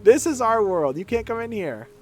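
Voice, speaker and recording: Man putting on a mocking kid voice, singsong, bouncy pitch, faint music behind. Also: very animated, normal speed. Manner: taunting, singsong teasing. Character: bratty mocking child gatekeeper.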